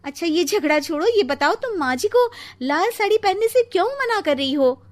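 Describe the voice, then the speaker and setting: Woman acting high-voiced, exasperated young daughter-in-law. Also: very animated, normal speed. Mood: pleading. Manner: emphatic, pleading, theatrical. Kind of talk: animated Hindi comic story acting.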